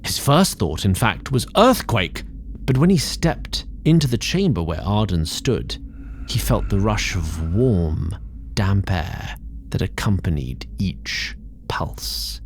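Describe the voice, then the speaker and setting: A man giving scripted narration for a fantasy audio drama in a measured, theatrical storytelling manner. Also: low-pitched, a little mellow, slight background noise. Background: music.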